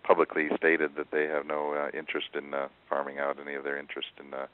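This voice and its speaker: A man answering analysts' questions on a conference call, halting with many fillers and restarts. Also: extremely low pitch, completely flat delivery. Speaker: oil company executive answering analysts. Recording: tinny phone line.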